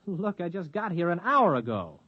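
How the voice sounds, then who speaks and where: A man's voice: sits high, big pitch swings, a little slow, slightly rough, no room sound, exaggerated voice. An actor playing a blunt young ex-spaceman who acts in and narrates a radio drama in a plain-spoken way. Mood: puzzled, weary. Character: young ex-spaceman hero, blunt, uneasy, narrating his story. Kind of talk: 1950s radio drama acting and first-person narration. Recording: dull lo-fi vintage radio recording.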